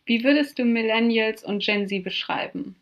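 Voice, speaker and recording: A woman in her twenties co-hosting a podcast, chatting playfully with deadpan quips. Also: a little high, moderate pace, lo-fi sound. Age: 20s. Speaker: podcast co-host. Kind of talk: casual chat.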